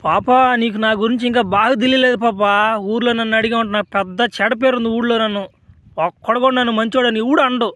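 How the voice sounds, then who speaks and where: Cartoon comedy rogue played by a man: young, brash, mocking, extremely high voice, rapid slangy delivery. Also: steady tone, fairly bright tone, dry recording. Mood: curious, swaggering. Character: cocky, teasing young village rogue, male. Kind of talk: amateur comedy cartoon voice acting.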